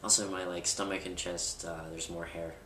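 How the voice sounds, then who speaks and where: Deep-voiced young man, candid vlog. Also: little pitch movement, moderate pace. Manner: candid, rambling with fillers and restarts. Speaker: vlogger. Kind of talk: casual personal vlog. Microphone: camera mic at a distance. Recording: roomy, distant, with loud background noise.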